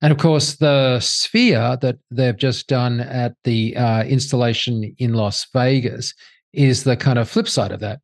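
A man co-hosting a podcast, asking questions eagerly with quick bursts of speech. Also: medium pitch. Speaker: co-host, interviewer. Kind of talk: conversational interview.

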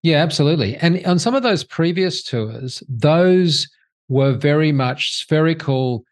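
A man co-hosting a podcast, asking questions eagerly with quick bursts of speech. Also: on the high side, expressive. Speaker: co-host, interviewer. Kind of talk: conversational interview.

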